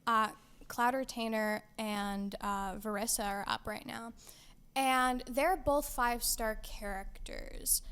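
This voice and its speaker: Woman co-host with a fairly high voice, playful, dramatic, laughs often, casual gaming talk. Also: fairly even intonation, takes it slowly, a bit bright, clean tone. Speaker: podcast co-host.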